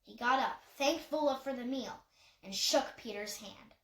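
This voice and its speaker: A woman's voice: on the high side, unhurried, a bit bright, echoey room. A woman reading a novel aloud as scripted audiobook narration. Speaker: audiobook reader.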